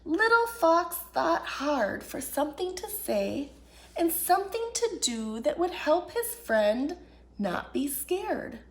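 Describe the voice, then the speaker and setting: A woman reading a picture book aloud to children as an expressive storyteller. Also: sits high, slow pace. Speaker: children's storyteller, children's ministry director.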